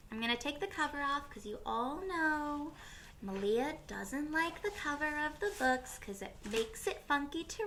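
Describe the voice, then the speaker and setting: A woman reading a picture book aloud to young children as a teacher would, expressively, with pauses and questions for her listeners. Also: sits high, gravelly, sharp tone, a little hiss or hum.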